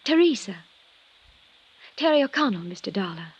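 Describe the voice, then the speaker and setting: An actress playing a young widow in 1950s radio style, gracious, chatty and gushing. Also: female voice, medium pitch, sing-song, moderate pace, husky. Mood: gracious, reassuring. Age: young adult. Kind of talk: 1950s radio drama acting. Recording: vintage radio recording with faint noise.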